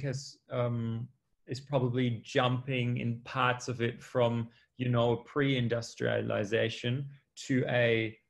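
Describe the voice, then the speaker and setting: A man in his thirties explaining things in a measured way as a panelist on a video call. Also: medium pitch, gravelly. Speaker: panelist, consultant.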